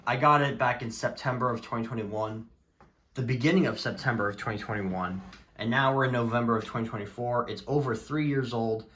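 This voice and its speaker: A man talking casually in a halting, rambling way as he presents his collection in a vlog. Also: mid-range voice, a bit bright. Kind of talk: casual show-and-tell vlog.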